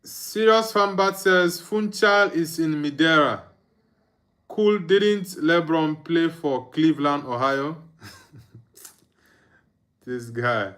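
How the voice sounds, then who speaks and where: Young male streamer, unhurried and slightly high-voiced, reads out viewer comments and reacts to the match. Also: fairly bright tone. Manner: reads viewer comments aloud, chuckles, hums and sings under his breath. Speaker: watchalong streamer. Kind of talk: casual live match commentary and chat reading.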